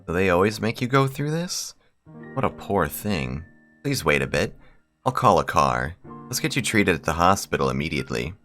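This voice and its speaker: Man putting on a genteel, doting rich young lady, deep-voiced. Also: big pitch swings, normal speed, sharp tone, soft background music, heavily acted. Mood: concerned, doting. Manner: formal, genteel, gushing politeness. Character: refined rich young lady, doting, formal, intensely devoted.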